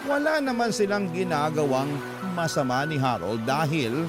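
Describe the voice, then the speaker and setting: A man's voice: on the high side, lively intonation, normal speed. A man narrating a listener's letter in the first person as a Tagalog radio drama host. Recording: loud music bed under narration.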